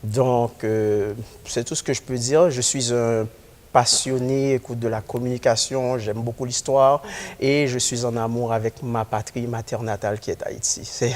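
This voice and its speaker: An earnest young man from Haiti, answering as a guest in a studio interview. He talks in a halting way, full of hesitations, at a middling pitch. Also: steady tone, a little mellow. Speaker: guest, community organizer.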